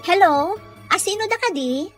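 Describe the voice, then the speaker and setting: Patient, deferential female caregiver for audio drama played by a woman, high pitched, coaxing, respectful. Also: expressive, normal speed, faint music behind. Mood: natural, expressive. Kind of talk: Ilocano radio drama acting.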